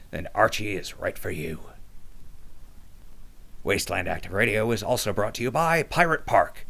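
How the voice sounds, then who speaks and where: A man's voice: lowish voice, creaky, sharp tone, full character voice. A man playing a salesy radio advertisement announcer, reading scripted parody sponsor spots in polished patter. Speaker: commercial announcer.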